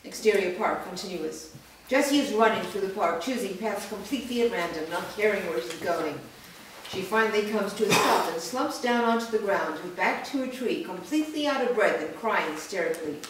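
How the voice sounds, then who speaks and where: A woman's voice: mid-range voice, animated, brisk. A woman reading scripted stage directions at a staged reading, recorded in an echoey room. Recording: echoey room, distant mic, faint noise.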